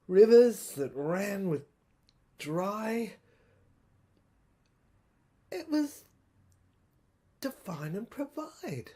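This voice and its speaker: A troubled infantry soldier played by a woman delivers a dramatic first-person monologue for an audio play. The voice is husky and slow, breaking phrases into halting pieces with deliberate emphasis. The pitch moves widely from line to line. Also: warm tone, exaggerated voice. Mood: troubled, emphatic. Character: troubled infantry soldier piecing together a secret government document.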